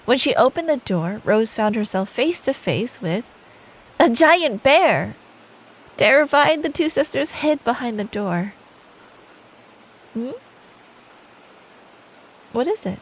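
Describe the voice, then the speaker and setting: A woman's voice: a little high, expressive, slow, long pauses, rough recording. A woman telling a bedtime story in ASMR style as a girlfriend, sweet and playful, taking her time. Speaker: ASMR performer playing a girlfriend. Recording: faint rain noise behind. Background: soft rain sound effect.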